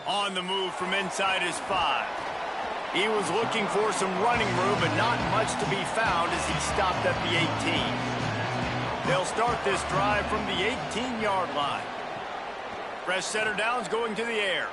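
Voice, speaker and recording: A man with an American accent playing an excitable football play-by-play announcer in a video game, with crisp phrasing that rises with the action. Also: high voice, a little slow, over loud music. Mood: focused. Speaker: play-by-play sports commentator.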